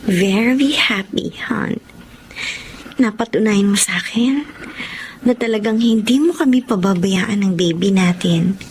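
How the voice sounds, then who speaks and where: An actress playing a devoted, respectful young wife in a Tagalog radio drama, speaking sweetly and politely. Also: female voice, mid-range voice, measured pace, a little hiss or hum, close to an everyday voice, poor audio. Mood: tender, devoted.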